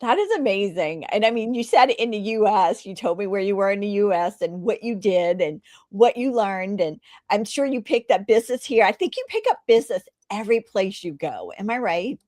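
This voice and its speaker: A woman's voice: medium pitch, expressive, fairly quick, hardly any pauses, darkish tone, no room sound, studio quality. A woman hosting an interview, introducing her guest and asking questions in an enthusiastic, chatty way. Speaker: host.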